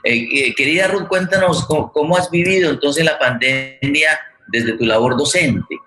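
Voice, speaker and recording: A man moderating a forum and reflecting as a writer, halting and repeating words as he searches for phrasing. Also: on the high side, bright, forceful tone, faint music behind, low-quality recording.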